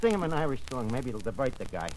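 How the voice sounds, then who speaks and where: An actor playing a brash, bumbling tavern manager in an old-time radio comedy, mangling fancy words in streetwise, ungrammatical talk. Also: male voice, mid-range voice, big pitch swings, fast, darkish tone, close to an everyday voice, low-quality recording. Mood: pleading.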